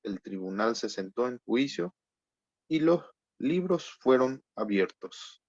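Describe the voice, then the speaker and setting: A man's voice: mid-range voice, lively intonation, dry recording, highs cut off. A man from Paraguay introducing himself plainly and reading a scripture passage. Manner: plain, unhurried, says "¿verdad?" often.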